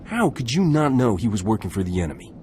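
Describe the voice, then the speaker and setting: An actor playing a brash, gruff, roguish starship captain in a video game. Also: male voice, on the low side, very animated, fast, a little mellow, slight background noise. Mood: angry, impatient. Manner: brash, cocky.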